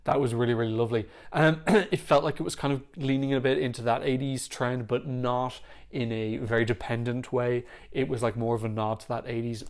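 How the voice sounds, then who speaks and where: Excitable man reacting to songs. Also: medium pitch. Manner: chatty and excitable, swears casually. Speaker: Eurovision reaction YouTuber. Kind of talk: casual reaction commentary. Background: faint music playing.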